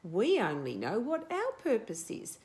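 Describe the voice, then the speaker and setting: A woman's voice: mid-range voice, huge pitch swings, unhurried, darkish tone. A woman voicing tiny, busy worker ants in a storybook, plain-spoken and dutiful.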